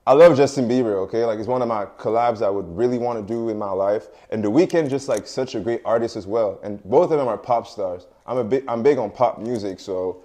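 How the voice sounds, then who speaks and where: A man's voice: medium pitch, brisk, hardly any pauses, warm tone. A young man whose first language is French, a music artist giving casual, laid-back interview answers with lots of fillers and frequent laughs.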